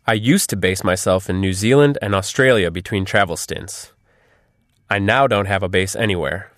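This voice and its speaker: Lowish-voiced man, scripted interview guest. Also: moderate pace, fairly bright tone, no room sound, studio quality. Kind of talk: scripted interview answers read aloud.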